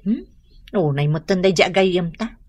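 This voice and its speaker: A woman's voice: lowish voice, moderate pace, a little mellow, no echo, close to an everyday voice. A woman with an Ilocano accent playing a concerned, inquisitive friend with a questioning manner in a radio drama. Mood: concerned, curious.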